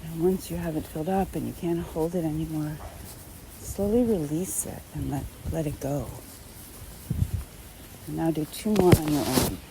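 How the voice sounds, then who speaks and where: A woman leading a guided meditation in a gentle, unhurried manner, speaking unscripted instructions in short phrases with long pauses. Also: low-pitched, noisy background.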